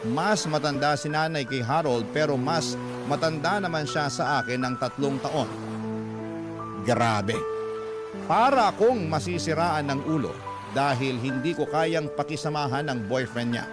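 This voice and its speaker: Male radio storyteller over loud music. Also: fairly high pitch, unhurried. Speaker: radio drama narrator. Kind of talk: scripted first-person story narration.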